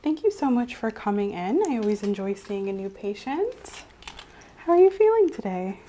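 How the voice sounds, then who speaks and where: A soft-spoken woman playing a doctor in an ASMR exam roleplay, methodically asking questions in a reassuring way that often trails off quietly. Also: medium pitch, very animated, moderate pace, slight background noise. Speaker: ASMR creator playing a doctor taking a medical history. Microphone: clip-on mic.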